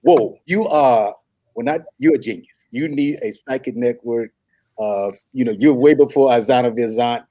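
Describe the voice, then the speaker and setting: A man in a comedy table read playing an apologetic, flustered suitor who stammers and fibs, very polite and halting. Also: medium pitch, warm tone, no echo, natural voice. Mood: nervous fibbing. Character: apologetic, flustered man, nervously fibbing to impress his date.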